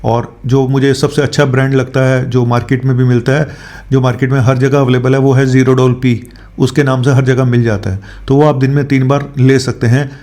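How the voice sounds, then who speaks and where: A man's voice: medium pitch, little pitch movement, brisk, a little mellow. A man speaking as a doctor on his health show, explaining informally with English medical terms mixed in and frequent tag questions.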